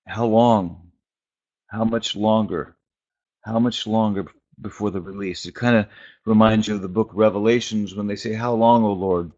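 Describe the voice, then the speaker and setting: A man giving an informal, reflective sermon in short, halting phrases with long pauses between them. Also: lowish voice, a little mellow. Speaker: preacher and spiritual teacher. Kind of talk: informal prophetic sermon on a conference call. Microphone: phone conference call. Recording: dull, band-limited conference call audio.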